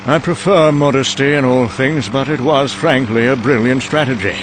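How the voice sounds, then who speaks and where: A man playing a mad, vain, rambling scientist in a motion-comic drama, excitable and pleased with himself. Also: mid-range voice, moderate pace, background noise, close to an everyday voice. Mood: smug, reflective. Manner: rambling, excitable, self-congratulating. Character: mad, vain, rambling British male scientist narrating doom.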